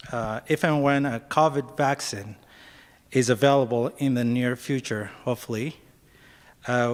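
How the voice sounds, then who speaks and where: A man from Ethiopia in the audience, asking a measured, polite question with some hesitant fillers. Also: medium pitch, in a large hall.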